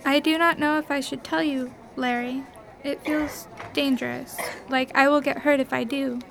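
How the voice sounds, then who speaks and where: Lost alien girl: high, bright, formally worded, naive radio drama role over faint noise. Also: steady tone, normal speed, vocal fry. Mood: hesitant, guarded. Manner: formal, naive, avoids contractions. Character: lost amnesiac alien girl, earnest and frightened.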